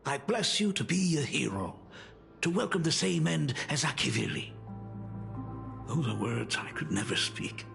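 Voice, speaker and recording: An actor playing a gentle, sorrowful middle-aged artist father in a video game, speaking gravely and tenderly with halting phrases. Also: fairly high pitch, very animated, over music, heavily acted. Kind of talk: video game character acting.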